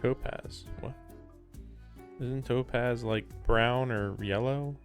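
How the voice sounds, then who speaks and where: A man's voice: medium pitch, fairly even intonation, slow pace. A man live-streaming a game, giving casual, muttered, laid-back reactions as he plays. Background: faint game music.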